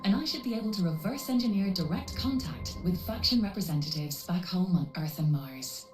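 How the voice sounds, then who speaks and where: Woman playing Oni, a composed low-voiced AI guide. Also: animated, distant mic, soft background music, natural voice. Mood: reassuring. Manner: measured, formal, reassuring. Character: composed female AI navigator guiding a mercenary. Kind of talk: scripted game briefing.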